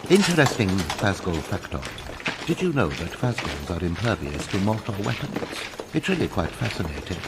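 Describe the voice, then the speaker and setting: A man's voice: low voice, very animated, very noisy. An actor playing a gloating, pompous evil sorcerer in a video game, sardonic and theatrical in his delivery. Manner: hammy, sardonic, drawn-out menacing delivery. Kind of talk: scripted video game villain acting. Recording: long reverb, music behind.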